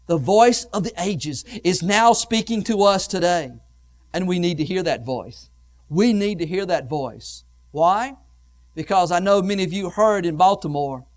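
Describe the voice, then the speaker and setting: A man preaching a sermon in an emphatic oratorical style, with repeated phrases and dramatic pauses, swinging between hushed and loud delivery. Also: high-pitched.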